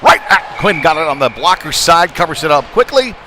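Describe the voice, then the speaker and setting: A man's voice: a little high, a little fast, some chatter behind. A man calling a live youth hockey game rinkside in a conversational, hesitant way that lifts with the action. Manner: conversational, hesitant, rising excitedly on shots. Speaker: play-by-play hockey commentator. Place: rinkside at an indoor hockey arena. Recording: noisy rink ambience behind voice.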